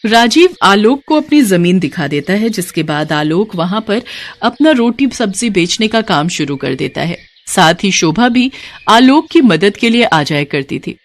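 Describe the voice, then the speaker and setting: A woman's voice: mid-range voice, animated, measured pace. A woman narrating a scripted moral bedtime story as a storyteller.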